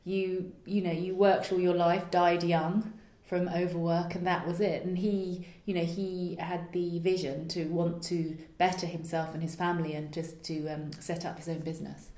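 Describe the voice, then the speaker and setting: Low-voiced woman playwright in a roomy space. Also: flat delivery, moderate pace, hardly any pauses, mellow. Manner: earnest, searching for words, laughing lightly. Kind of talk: reflective interview answers.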